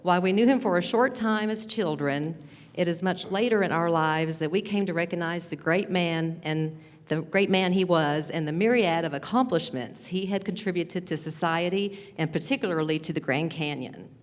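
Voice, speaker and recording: A woman with a Texas accent presenting a prepared history talk at a measured, deliberate pace. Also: lowish voice. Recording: reverberant hall.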